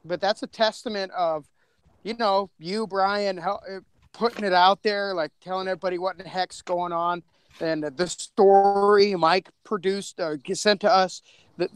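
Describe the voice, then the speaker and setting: A man's voice: sits high, clean tone, no echo. Effusive man chatting on a podcast. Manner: effusive, rambling. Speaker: podcast co-creator. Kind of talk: casual panel chat. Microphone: video call.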